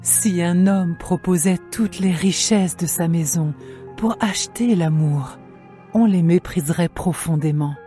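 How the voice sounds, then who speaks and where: A woman playing a tender, devoted young woman in love, in a slow, measured dramatised scripture reading. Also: fairly low pitch, warm tone, faint music behind, lightly acted. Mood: solemn, devoted.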